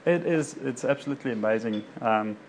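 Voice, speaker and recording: A man's voice: medium pitch, fairly quick. A man from Africa, a doctor giving an informal personal talk to a local audience. He rambles, with many fillers and self-corrections. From South Africa. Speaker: doctor speaking to a local community group.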